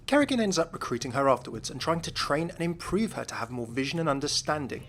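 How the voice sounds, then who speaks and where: A man's voice: on the high side, big pitch swings, fast talker, a bit bright. A man hosting a video, reading scripted explainer narration.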